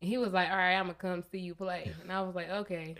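A woman's voice: fairly low pitch, fast. A woman in her twenties, a podcast guest, casually retelling how she met her partner. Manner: relaxed, retells conversations in quotes. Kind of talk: casual storytelling.

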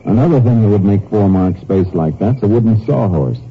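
A low-pitched lawman, terse and matter-of-fact, questioning and reasoning with brisk authority. It is 1950s radio detective acting on a dull, slightly hissy old recording. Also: lively intonation. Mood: brisk, probing. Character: brisk, observant Texas Ranger investigator.

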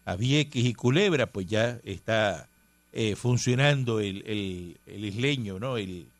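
A man's voice: on the low side, takes it slowly, no room sound. Cuban-born man hosting radio talk. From Cuba. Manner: rambling, emphatic, many fillers. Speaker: radio show host. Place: radio studio.

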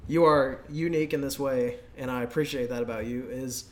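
A man's voice: medium pitch, fairly even intonation, fairly quick. A man co-hosting a podcast, talking casually and informally in an agreeable conversational way. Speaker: podcast co-host.

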